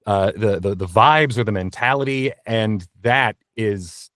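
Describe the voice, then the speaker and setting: A man with a fairly low voice talks candidly as an interview guest. He is reflective and self-deprecating, often halting as he searches for words. The sound is dry, from a small padded recording booth. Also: fairly bright tone, dry recording. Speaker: guest voice actor being interviewed.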